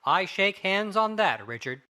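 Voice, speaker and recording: A man playing a grave, courteous gentleman lawyer in a dramatic audiobook, asking things in a formal, deliberate way. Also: sits high, sing-song, full character voice. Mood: earnest, grave.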